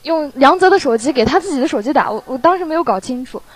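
Casual female chat host, higher register, repeats herself haltingly, light background noise. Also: animated. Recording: noisy home mic with clicks.

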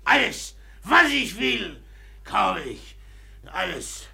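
A drunken rich braggart in a radio drama, very high and heavily gravelly. He rants, repeats himself and taunts, drawing words out slowly with a volatile, obsessed edge. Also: wildly swooping pitch, sharp tone, exaggerated voice. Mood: gloating fury. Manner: slurred-drunk ranting, repeats words, bursts into laughter. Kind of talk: German radio play acting.

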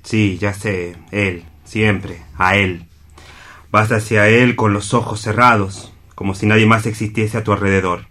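Hermano amargado y atormentado. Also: male voice, on the low side, steady tone, a little slow, pushed and bright. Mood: bitter, resentful. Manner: impassioned, reproachful, self-pitying. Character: bitter, anguished younger man, envious overlooked brother. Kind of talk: radio theatre acting.

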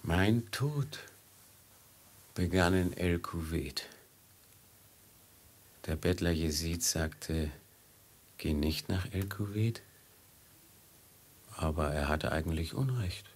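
Slow, husky, brooding doomed man. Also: deep voice, a little mellow, exaggerated voice. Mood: brooding, regretful. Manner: halting, pensive delivery. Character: resigned, brooding doomed man reflecting on death. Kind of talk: slow German radio play acting.

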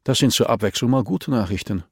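A man's voice: medium pitch, quick pace, no room sound, studio quality, close to an everyday voice. A man voicing a terse, guarded male investigator in an audiobook, curt and reserved. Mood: businesslike.

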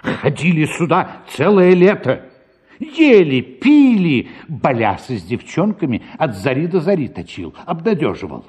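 Radio drama: middle-aged papa, excitable and blustering, higher-pitched, husky delivery. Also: male voice, unhurried, exaggerated voice. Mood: indignant. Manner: effusive, chuckling, blustering. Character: middle-aged father of seven daughters, fawning then indignant. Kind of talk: theatrical radio drama acting.

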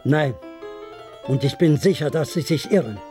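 An actor playing a gentle, lonely old male doctor in a German radio play, speaking in a reflective, halting, unhurried way. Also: fairly high pitch, animated, lightly acted. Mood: quietly firm. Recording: music playing clearly behind the voice.

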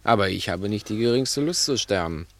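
A male actor playing a cool, calculating, sardonic crook with a deliberate, condescending manner in a 1960s German radio play. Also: lowish voice, animated. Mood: coolly scheming.

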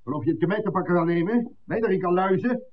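An actor playing a cold, commanding gang boss in a 1960s radio drama, giving measured threats and curt orders. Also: male voice, sits high, a little hiss or hum, close to an everyday voice. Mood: angry, scornful. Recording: tinny, band-limited old radio recording.

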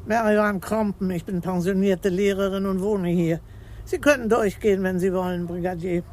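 An actress playing a stern, bossy older retired schoolteacher with a curt, commanding manner in a radio crime drama. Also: female voice, mid-range voice, steady tone, moderate pace, darkish tone, close to an everyday voice. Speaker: actor.

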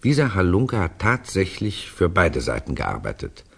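A wry gentleman reading out notes in a 1960s German radio play. His low voice is husky and swings widely in pitch as he adds emphatic asides of his own. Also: huge pitch swings, moderate pace, exaggerated voice. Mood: sardonic, emphatic. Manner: wry, emphatic, commenting as he reads. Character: wry man reading out an old teacher's notes. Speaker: frame narrator.

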